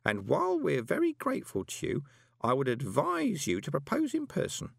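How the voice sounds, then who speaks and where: Male narrator as gentle elderly woman, soft and sweet, recorded dry. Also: a little high, wildly swooping pitch, normal speed, vocal fry, no echo, pitched above the performer's own voice, heavily acted. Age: older.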